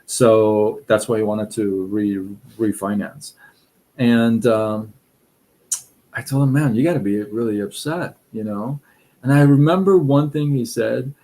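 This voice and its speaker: A middle-aged man from Iran with a Persian accent, hosting a casual live stream of advice talk in a relaxed, rambling way full of fillers and restarts. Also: fairly low pitch, a little slow.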